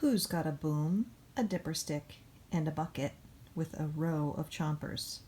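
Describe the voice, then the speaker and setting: A woman voicing an eager, boastful backhoe loader in an expressive, playful picture-book read-aloud. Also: sits low, measured pace. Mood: proud. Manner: expressive, playful, emphatic storybook reading. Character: eager, boastful cartoon backhoe loader who loves dirty work.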